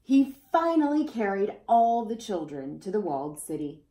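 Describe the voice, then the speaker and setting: A woman's voice: medium pitch, sing-song, normal speed, dark tone. A woman reading a children's picture book aloud expressively, as storyteller to young listeners. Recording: roomy, echoey indoor sound.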